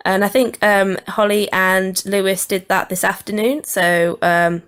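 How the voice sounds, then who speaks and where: A woman's voice: medium pitch, flat delivery, fairly bright tone, clean tone. A young woman giving informal, hesitant commentary as a student producer reviewing an audio edit. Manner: hesitant, halting, thinking aloud.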